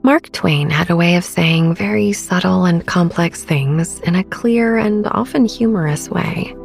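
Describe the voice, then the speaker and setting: Soft, breathy woman, soothing. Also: on the low side, soft background music. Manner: soothing, gentle, unhurried delivery. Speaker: podcast host. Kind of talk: guided sleep meditation narration.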